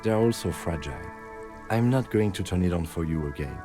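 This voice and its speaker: A deep-voiced, restrained man, precise and patient with a dry, reproving edge. Music plays clearly behind the controlled delivery. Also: animated, fairly quick. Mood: mildly chiding. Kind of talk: video game character acting.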